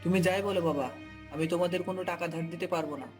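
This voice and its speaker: This is a man acting a tight-fisted, dismissive grown son in a cartoon tale. The voice is very high for a man and rattles along quickly, over faint music. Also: steady tone, darkish tone, lightly acted. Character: stingy, dismissive adult son. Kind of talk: cartoon folk tale dialogue.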